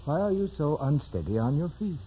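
An actor playing a stern, condescending doctor who questions brusquely, in 1940s radio drama style. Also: male voice, a little high, sing-song, fairly quick, a little hiss or hum. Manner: brusque, clipped, interrogating. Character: stern, condescending male doctor examining a patient. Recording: dull old radio broadcast.

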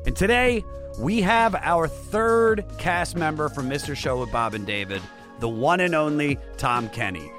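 Male podcast host, higher-pitched and very animated, slangy, excitable banter over music. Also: measured pace. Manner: excitable, casual, slangy, breaks into singing.

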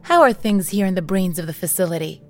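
An actress playing a steady, resolute leader with a measured, encouraging manner in an audio drama. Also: female voice, on the low side, faint music behind, natural voice. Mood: businesslike. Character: steady, resolute female leader rallying her people. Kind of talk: audio drama acting, naturalistic dialogue.

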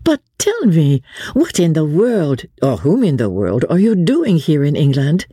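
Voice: mid-range voice, big pitch swings, unhurried, mellow, husky, dry recording, studio quality, voice pushed up. A narrator voicing a witty, playful young French aristocratic woman, bored and teasing, in an audiobook. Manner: playful, sighing, teasing, with light laughs.

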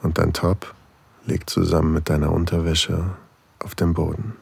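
A man's voice: extremely low pitch, level tone, moderate pace, creaky, husky, dry recording, exaggerated voice. A man acting a flirtatious single father in an intimate erotic audio drama, teasing and a little hesitant, narrating his own thoughts. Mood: aroused, musing.

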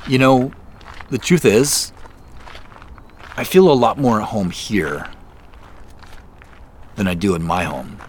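An actor playing an impulsive man chasing a mystery, speaking slowly and laughing often in an audio drama. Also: lowish voice, a little hiss or hum. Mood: wistful. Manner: slow, amused, laughs often.

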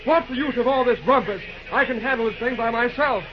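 A man's voice: extremely high pitch, brisk, over loud music. An actor playing an earnest, insistent railroad man pleading with a mob in an old-time radio drama. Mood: urgent pleading. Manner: urgent, insistent. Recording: muffled old radio, loud crowd noise.

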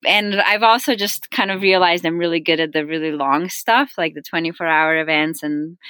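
A woman's voice: fairly low pitch, normal speed, clean tone, no echo. A young woman from Slovenia, a guest athlete, talking casually in an interview with plenty of ums. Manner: relaxed, many ums, friendly.

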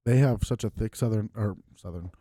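Low-key guy co-hosting a podcast. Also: fairly quick, mellow, dry recording, clean studio sound. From Wisconsin. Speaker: podcast co-host. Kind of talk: casual chat among friends.

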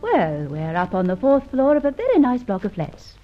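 A woman's voice: on the high side, huge pitch swings, moderate pace, loud music behind the voice. A woman playing an adventurer's pert, sceptical female companion in an old radio serial, questioning and drily flippant. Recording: dull, muffled old radio recording.

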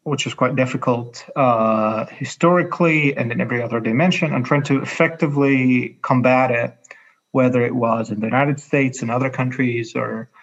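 A man with an Egyptian Arabic accent answers as an interview guest. His voice is creaky and gravelly, and he speaks haltingly as he searches for words. The recording is a little dull and lo-fi. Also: medium pitch. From Cairo, Egypt. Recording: dull, muffled lo-fi audio.

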